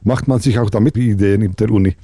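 A man hosting a radio interview, asking calm, measured questions. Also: lowish voice, no echo, studio quality.

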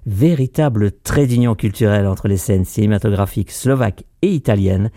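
A man presenting a scripted radio feature, reading in a measured, formal way. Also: on the low side, dark tone, no echo, clean studio sound.

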